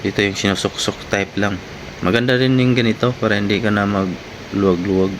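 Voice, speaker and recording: Low-voiced man over noisy background. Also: a little fast. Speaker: vlogger reviewing gear. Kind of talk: casual unboxing and product review, talking to viewers. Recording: clearly noisy, steady background hum.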